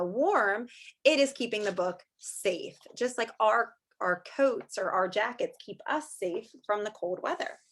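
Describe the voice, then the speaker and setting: A woman teacher leading a storybook read-aloud for young children, explaining words simply and asking questions in an animated, expressive way. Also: medium pitch. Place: online video session with screen sharing.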